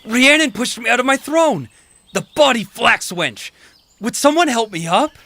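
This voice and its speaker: A man's voice: very high-pitched, sing-song, moderate pace, sharp tone, no room sound, full character voice. A man playing a vain, helpless, complaining king in a comic stage-style audio drama. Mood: whining, helpless. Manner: pompous, boastful, complaining. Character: vain, whining, helpless old Welsh king.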